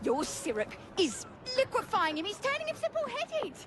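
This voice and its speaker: Outraged Victorian townswoman, very high pitch, scolding, feisty, game cutscene, noisy street. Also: huge pitch swings, normal speed, full character voice. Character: indignant, scolding Victorian working wife, feisty. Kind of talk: video game cutscene acting.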